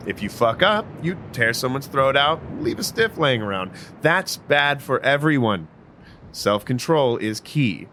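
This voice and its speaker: A man acting as an impatient vampire mentor in an audio drama, curt and sardonic, with a mid-pitched voice. Clear outdoor ambient noise runs behind him. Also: very animated, unhurried, sharp tone. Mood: matter-of-fact, instructing. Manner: sardonic, brusque.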